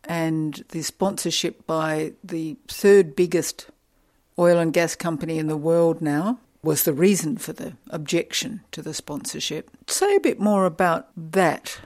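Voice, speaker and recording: A woman's voice: low voice, measured pace, darkish tone. A woman interviewer prompting her guests in a conversational way. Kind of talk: interview questions.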